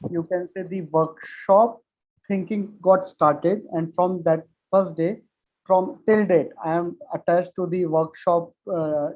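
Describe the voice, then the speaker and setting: Halting man on a call. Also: high-pitched, steady tone, darkish tone, lo-fi sound. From India. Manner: halting, many fillers and restarts. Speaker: UX manager. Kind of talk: casual self-introduction. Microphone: video call.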